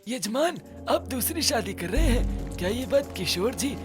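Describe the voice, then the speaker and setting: High, animated gossipy priest. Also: female voice, unhurried, music in the background. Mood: meddling, aggrieved. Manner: pointed, gossipy. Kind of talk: Hindi cartoon story voice acting.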